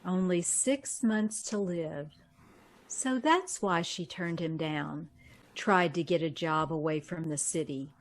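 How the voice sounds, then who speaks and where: A woman's voice: lowish voice, takes it slowly. An actress playing a secretive, vain young woman in an old-time radio drama, with a theatrical, hesitant delivery. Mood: stunned realization.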